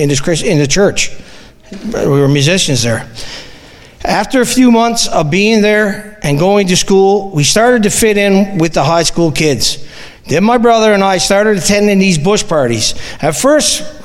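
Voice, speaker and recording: A middle-aged man with a Newfoundland accent reads his own testimony to a church, plain and earnest, stumbling now and then. His voice sits high for a man, and a long reverb trails it through the hall. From Newfoundland, Canada. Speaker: guest giving a church testimony. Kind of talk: reading a personal testimony aloud.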